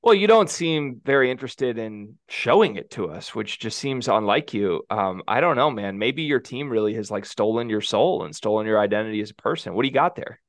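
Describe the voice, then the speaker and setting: Animated, teasing podcast co-host man. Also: on the low side, a little fast, hardly any pauses. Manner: animated, teasing, laughs often. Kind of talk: casual sports podcast banter.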